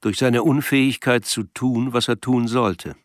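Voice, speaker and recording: A man's voice: mid-range voice, steady tone, measured pace, no room sound, studio quality. A man reading literary scripted narration for a radio drama. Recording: music behind the voice.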